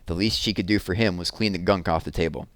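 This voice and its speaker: A man narrating a children's book aloud with expressive storytelling. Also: low-pitched, quick pace, no room sound.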